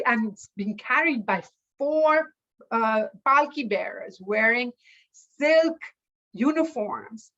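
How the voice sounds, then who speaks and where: A woman telling a folktale aloud as a storyteller, in a deliberate, halting manner. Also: on the high side, a bit bright, highs cut off.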